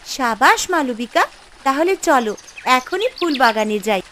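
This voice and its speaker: অভিনেত্রীর কণ্ঠে চড়া গলার স্নেহময়ী মা. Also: female voice, high-pitched, animated, unhurried, heavy background noise. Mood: eager. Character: devoted mother seeking a birthday gift for her daughter. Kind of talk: animated fairy tale voice acting.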